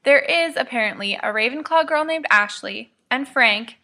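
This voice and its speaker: A woman reads fiction as a narrator. Her voice is crisp-edged and bright, pitched a little high. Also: lively intonation, normal speed. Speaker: podfic reader, narrator.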